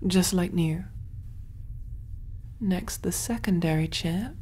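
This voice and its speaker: A woman voicing a kind, clinical alien surgeon in a gentle, reassuring ASMR roleplay, soft-spoken and often whispering. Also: on the low side, takes it slowly, slight background noise. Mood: calm, focused.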